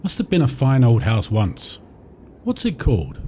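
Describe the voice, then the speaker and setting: An actor playing a lost gentleman traveller in a radio drama, formal, polite and theatrical. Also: medium pitch, wildly swooping pitch, normal speed. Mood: polite, uneasy. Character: lost gentleman traveller, well-mannered, excitable sceptic. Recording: clear background noise.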